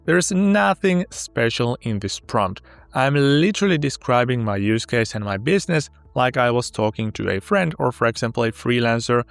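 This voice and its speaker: A man whose first language is Finnish, upbeat and walking step by step through a sponsored software tutorial. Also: medium pitch, creaky, no room sound. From Finland. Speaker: tech tutorial host.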